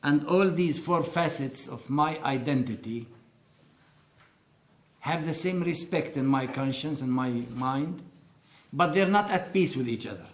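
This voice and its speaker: Older Arabic-accented man, slow and earnest. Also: fairly high pitch, roomy sound. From Upper Galilee, Israel. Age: 60s. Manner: earnest, deliberate storytelling with emphatic pauses. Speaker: interviewee. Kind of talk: personal testimony.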